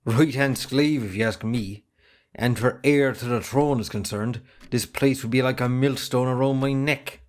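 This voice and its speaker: Sullen farm lad, acted by a man, Irish, medium-pitched, defensive, amateur play. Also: moderate pace, close to an everyday voice. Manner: defensive, grumbling. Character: sullen, put-upon young farmer's son. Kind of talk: amateur stage drama acting.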